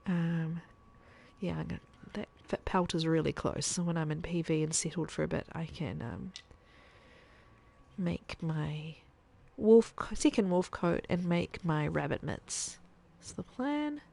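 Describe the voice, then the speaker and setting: Woman streamer, lowish gravelly voice, chatty thinking-aloud commentary. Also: a little slow, warm tone. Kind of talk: casual gameplay commentary.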